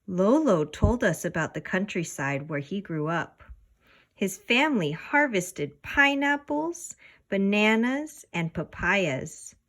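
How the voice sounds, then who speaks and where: A patient storybook mama, voiced by a woman reader, speaking slowly in a buttery voice. She gently explains and encourages her young daughter. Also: mid-range voice, sing-song, heavily acted. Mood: fond, gentle.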